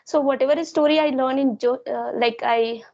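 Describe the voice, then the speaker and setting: A woman's voice: high-pitched, fairly even intonation, moderate pace, darkish tone, muffled recording. A woman whose first language is Hindi, hesitantly sharing her experience in a casual English practice conversation, with many fillers. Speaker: guest sharing her learning journey.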